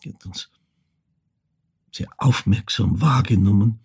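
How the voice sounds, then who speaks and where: Older Austrian man haunted by memories; low, badly frayed husky voice, halting theatrical storytelling. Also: a little mellow, heavily acted. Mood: haunted, reflective. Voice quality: husky, creaky. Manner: halting, brooding, shifting into whispers. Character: older man from Vorarlberg, haunted, remembering his troubled mother. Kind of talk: theatrical monologue, intimate storytelling.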